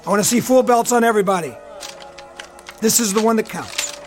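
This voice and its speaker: Man playing high-voiced, commanding army lieutenant. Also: fairly quick, soft background music, close to an everyday voice. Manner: commanding, clipped. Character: firm, reassuring male army lieutenant leading his men. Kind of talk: radio drama acting.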